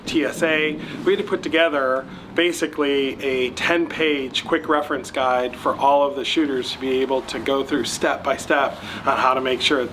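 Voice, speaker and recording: American man, noisy outdoor interview. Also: mid-range voice. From United States. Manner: earnest, with frequent "uh" and "um" fillers. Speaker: match founder and president. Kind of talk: casual interview answers.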